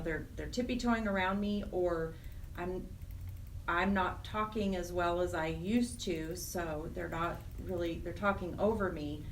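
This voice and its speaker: A woman's voice: medium pitch, far from the mic, a little hiss or hum. A woman speaking as an interview guest, explaining her program's work in a conversational way.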